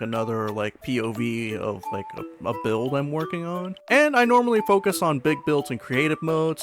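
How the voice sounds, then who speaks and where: Guy doing chatty gaming commentary, really lively pitch, casual, music playing behind him. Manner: animated, informal, conversational. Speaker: host of a gaming video channel.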